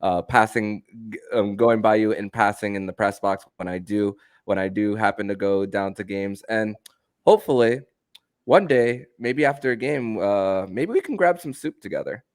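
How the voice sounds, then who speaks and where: A male sports podcast host with a low voice, recorded close and dry. His conversational delivery can be halting, with stammered restarts. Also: no room sound. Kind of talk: conversational hosting and sign-off.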